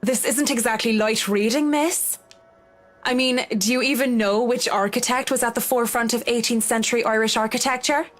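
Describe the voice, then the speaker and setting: Sardonic young woman, acted, higher voice. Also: steady tone, normal speed, faint music behind, close to an everyday voice. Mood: smug challenge. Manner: dry, sarcastic. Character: cynical, sardonic, bookish young female architect.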